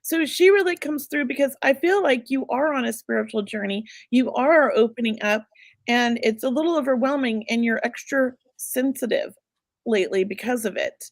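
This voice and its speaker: A woman hosting a live psychic reading, chatty and reassuring, going a bit hoarse as she loses her voice. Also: a little high, moderate pace, no room sound. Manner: chatty, reassuring, many filler words. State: losing her voice. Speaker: psychic medium host.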